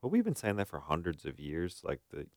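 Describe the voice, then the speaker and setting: A man's voice: low-pitched, wildly swooping pitch, fairly quick, darkish tone, no room sound, clean studio sound. An American man co-hosting a podcast, chatting casually with informal, folksy phrasing. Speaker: podcast co-host and practicing therapist. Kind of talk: casual conversational podcast chat.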